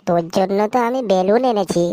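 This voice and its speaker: A man's voice: high-pitched, quick pace, natural voice. A man with a West Bengal accent voicing a sly, lecherous married man in an adult comedy cartoon, sweet-talking suggestively and backtracking. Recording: loud noise behind the voice.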